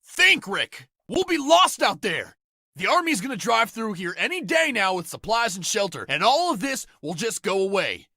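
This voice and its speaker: A man's voice: high voice, sing-song, normal speed, sharp tone, no echo, clean studio sound, heavily acted. A man voicing a hot-headed, defensive survivor in a comic dub. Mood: furious, defensive.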